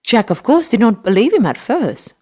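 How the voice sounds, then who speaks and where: A woman's voice: a little high, sing-song, normal speed. A woman narrating a scripted children's story for English learners.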